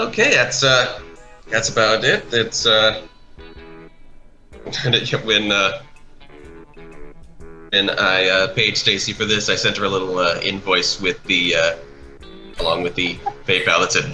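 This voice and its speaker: Male guest, low pitch, very sharp bright tone, enthusiastic and halting, conversational. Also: faint music behind, low-quality recording. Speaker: guest, creator and voice actor. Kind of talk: casual chat, commentary over an art video.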